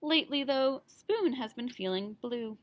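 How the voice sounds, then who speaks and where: A woman teacher reading a picture book aloud to young children in an expressive storytelling style. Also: on the high side, a little slow, no room sound. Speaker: teacher reading to kindergarten pupils.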